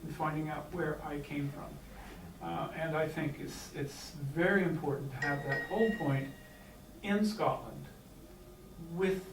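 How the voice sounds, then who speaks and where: A man's voice: on the high side, measured pace. A man from the United States speaking from the floor at a meeting, hesitant, with many fillers and restarts. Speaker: clan member speaking from the floor. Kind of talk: unscripted remarks at a meeting. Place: meeting room. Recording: echoey hall, distant mic, faint hiss.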